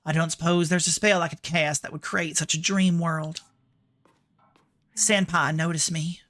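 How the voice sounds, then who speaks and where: A man's voice: fairly high pitch, flat delivery, a little slow, a bit bright. A man voicing a lovesick, self-centred schoolgirl in a feminine, drawling US Southern accent, reading game notes aloud. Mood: dreamy, yearning.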